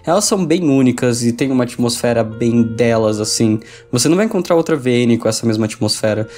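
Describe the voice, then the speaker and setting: A man's voice: mid-range voice, expressive, fast, a little mellow. A man with a Brazilian accent reading a scripted review as a video essay narrator. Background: background music.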